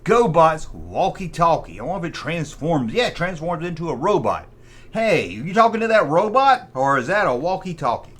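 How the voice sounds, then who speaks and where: A man's voice: a little high, sing-song, moderate pace, fairly bright tone. A middle-aged American man giving casual, humorous commentary in a mocking, sarcastic, folksy way. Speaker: host of a toy review channel.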